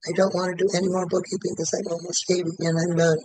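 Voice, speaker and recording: A man in his late seventies, a client in a tapping session, who repeats one statement over and over in an even rhythm. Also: high voice, fast talker, dry recording, rough recording. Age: 70s.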